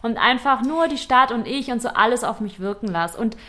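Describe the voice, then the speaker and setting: A woman's voice: fairly high pitch, animated, a little fast, fairly bright tone. A woman co-hosting a fashion podcast, telling shopping stories in a chatty, self-deprecating way. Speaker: podcast co-host. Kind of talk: casual chat.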